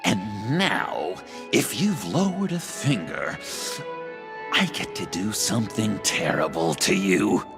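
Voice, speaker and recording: An actor playing a deranged, theatrical game villain who is mockingly polite, playful and menacing, swerving suddenly in delivery. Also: male voice, on the low side, very animated, takes it slowly, gravelly, music in the background, full character voice. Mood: gleeful menace. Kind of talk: video game voice acting.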